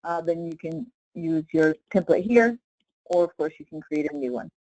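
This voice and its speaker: A woman leading a casual live software tutorial as a trainer, explaining step by step in an informal way with frequent check-in questions. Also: low voice, moderate pace, no echo, dull audio.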